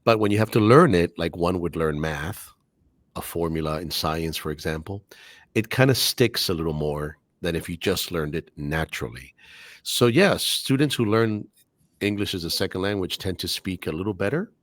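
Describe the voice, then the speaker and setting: A man's voice: deep voice, lively intonation, normal speed, dry recording. A middle-aged man, a native Spanish speaker and English teacher, speaking articulately with careful diction in a casual podcast interview.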